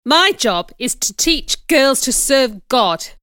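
A female narrator plays a domineering, pious schoolmaster in a storybook. He scolds and commands with angry emphasis, in a smooth, measured voice recorded dry and close. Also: on the high side, animated, pushed and bright, no room sound. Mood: commanding. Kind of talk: audiobook character voice.

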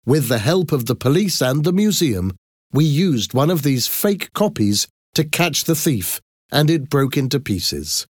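A man's voice: medium pitch, sing-song, unhurried, slightly rough, dry recording, clean studio sound, voice pushed up. A man voicing a proud, pleased male archaeologist in a story, presenting formally and emphatically.

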